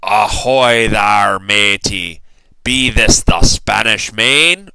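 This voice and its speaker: A blustering storybook pirate captain, performed by a man. He bellows in long, drawn-out pirate phrases with a forceful edge and big melodic swings. The sound is crisp and close, with a very dry recording. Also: fairly low pitch, animated, no room sound, heavily acted. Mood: hearty, booming. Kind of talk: theatrical storybook character voice.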